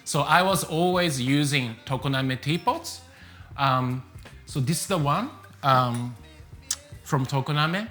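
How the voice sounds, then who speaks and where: Japanese-accented man, bright tone, faint music behind, relaxed self-introduction full of uhs. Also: on the high side. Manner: relaxed, many fillers. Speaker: tea business owner.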